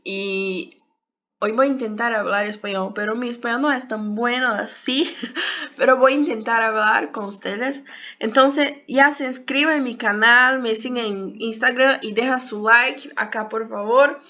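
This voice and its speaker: A woman's voice: fairly high pitch, measured pace, sharp tone. A young woman with a Brazilian Portuguese accent, a native Portuguese speaker giving a casual video lesson in a second language, hesitant and searching for words. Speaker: health sciences YouTuber. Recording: dull lo-fi sound.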